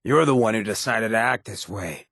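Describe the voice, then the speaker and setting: An actor playing a streetwise, blunt yet loyal ghoul mayor in a video game, with a husky rasp and a slangy drawl. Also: male voice, lowish voice, expressive, moderate pace, a bit bright, no room sound, studio quality. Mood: stern, threatening. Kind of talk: video game companion dialogue.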